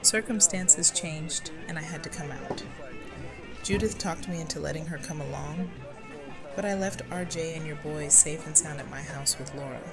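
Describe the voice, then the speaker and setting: A woman playing a low, guarded survivor. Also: moderate pace. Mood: guarded, hesitant. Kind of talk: audio drama acting. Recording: loud music and crowd behind.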